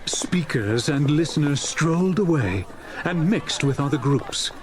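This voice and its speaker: A man narrating a dramatised classic story in an expressive, scripted audiobook style. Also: fairly high pitch, measured pace, background noise.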